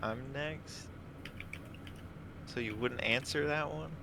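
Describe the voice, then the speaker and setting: Male podcast panelist, mid pitch, fry-heavy, casual delivery over background noise. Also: slow. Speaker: podcast panelist, quiz contestant. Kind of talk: casual chat.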